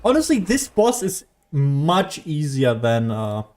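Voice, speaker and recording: A man's voice: fairly high pitch, big pitch swings, slow, darkish tone. A man giving casual, rambling video game commentary, often losing his train of thought like a streamer talking as he plays.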